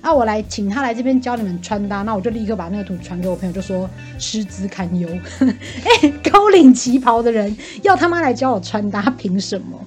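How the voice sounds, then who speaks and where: A woman with a Taiwanese accent in casual, gossipy chat, venting about things in an animated way and mimicking the people she quotes. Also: medium pitch, fairly quick, few pauses, soft background music. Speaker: podcast guest venting about work.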